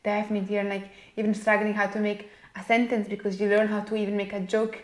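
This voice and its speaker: A woman's voice: mid-range voice, little pitch movement, fast. A young woman from Italy with an Italian accent, chatting casually as a podcast guest. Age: young adult. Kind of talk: casual chat. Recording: roomy, echoey sound.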